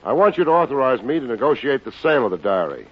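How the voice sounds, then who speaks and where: An actor playing a wisecracking, unsentimental private investigator in an old radio detective drama. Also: male voice, medium pitch, big pitch swings, quick pace. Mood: brisk, wry. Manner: wry, hard-boiled, slangy. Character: wisecracking, unsentimental male private investigator narrating his case. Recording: dull, noisy old radio recording.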